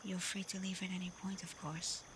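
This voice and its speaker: A woman playing a confident, protective, teasing female werewolf alpha in a soft, breathy, often whispered ASMR roleplay voice. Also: on the low side, level tone, a little fast, background noise, natural voice. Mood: calm, inviting. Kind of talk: slow ASMR roleplay addressed to the listener.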